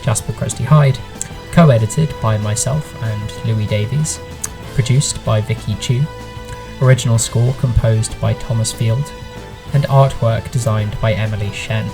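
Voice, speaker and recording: A man's voice: lowish voice, a little slow. Man reading credits over guitar music. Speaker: writer and director reading closing credits. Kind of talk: scripted announcement.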